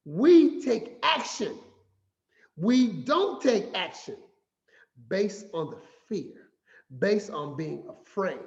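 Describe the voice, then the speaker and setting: A man giving a finance talk as an animated, playful storyteller who acts out quotes. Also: high voice, slow, creaky. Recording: echoey room, slightly dull sound.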